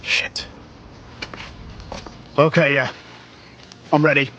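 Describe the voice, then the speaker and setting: A man's voice: fairly high pitch, level tone, extremely slow, sharp tone, slight background noise, full character voice. Slangy British streetwise man. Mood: hesitant. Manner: colloquial, slangy. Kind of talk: video game cutscene acting.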